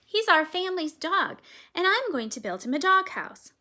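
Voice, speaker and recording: A woman's voice: very high voice, big pitch swings, normal speed, voice pushed up, exaggerated voice. Woman doing an eager young boy. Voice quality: bright and eager. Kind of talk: storybook read-aloud.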